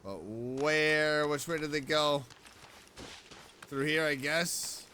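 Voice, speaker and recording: A man's voice: on the high side, slow pace, bright, forceful tone, slight background noise. A man streaming a video game with casual, self-directed, exclamatory commentary. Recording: game music behind.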